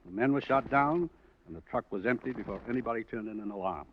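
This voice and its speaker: An American actor playing a weary, practical police lieutenant in a 1950s radio drama, deliberate and matter-of-fact. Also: male voice, on the low side, crowd noise, lightly acted. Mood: practical, brisk. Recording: muffled, faintly noisy old radio recording.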